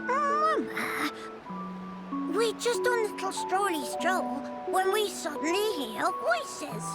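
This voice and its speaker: A woman's voice: extremely high pitch, full character voice. A woman voicing a small, childlike, eager creature that talks in quaint broken sentences in a video game. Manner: cutesy, broken grammar in third person. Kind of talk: video game cutscene acting.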